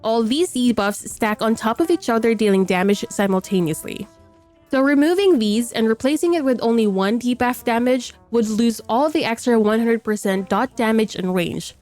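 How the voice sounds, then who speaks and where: A woman's voice: fairly high pitch, moderate pace, darkish tone, soft background music. A woman with a stuffy voice from a cold, explaining a game build in a matter-of-fact voice-over. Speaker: game guide creator.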